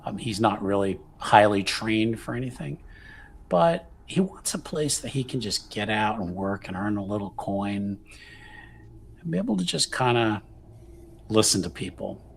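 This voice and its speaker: A man playing a tabletop roleplaying game, talking casually and haltingly, often repeating himself as he improvises. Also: on the low side, expressive, gravelly, slight background noise. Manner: halting, repetitive, conversational. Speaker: tabletop RPG player. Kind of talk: casual improvised character planning.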